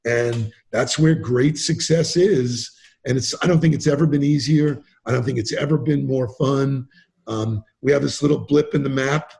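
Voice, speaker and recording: A man talking as a podcast guest in a casual interview, animated and emphatic, acting out quotes as he tells stories. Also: medium pitch, normal speed, creaky. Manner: animated, emphatic storyteller who acts out quotes. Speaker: podcast guest, sales trainer.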